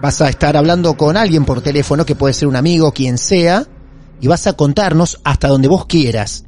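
A man's voice: a little high, moderate pace, a little mellow, a little hiss or hum. Theatrical Argentine male podcast host. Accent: Argentine Spanish. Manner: welcoming and theatrical, reassuring the caller. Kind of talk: paranormal podcast interview.

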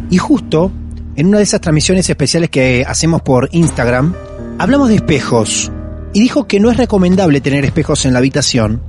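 An Argentine man hosting a paranormal story show, questioning the guest with quick short backchannels. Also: fairly high pitch. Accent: Argentine Spanish. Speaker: host. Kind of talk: casual interview.

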